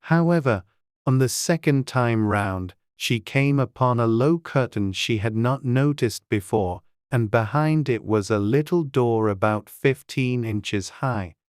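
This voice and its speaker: An audiobook narrator reading a children's story in a measured, deliberate storytelling way. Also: mid-range voice, smooth, no echo, studio quality.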